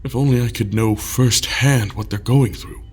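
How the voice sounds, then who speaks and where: A man's voice: medium pitch, fairly even intonation, normal speed, soft background music, close to an everyday voice. A man playing an anxious, proud young man who broods. He talks in a weary, guarded and terse way. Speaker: troubled leader. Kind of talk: audio drama acting.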